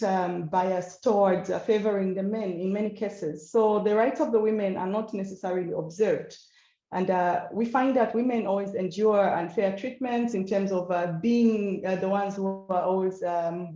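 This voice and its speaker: A Zambian-accented woman giving an educational slide presentation in a measured, hesitant way with frequent pauses. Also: medium pitch, mellow, reverberant, dull audio. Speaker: guest speaker, women's rights organization founder.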